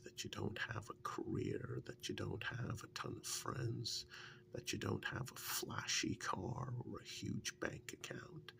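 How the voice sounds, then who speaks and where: A man softly playing an embittered yet devoted former captive in an ASMR role-play, half-whispering in a rambling, confiding way. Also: heavily acted. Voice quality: soft, breathy, often half-whispered. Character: embittered former kidnap victim, now devoted male partner. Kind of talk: soft-spoken ASMR role-play monologue. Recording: faint low rumbling background noise.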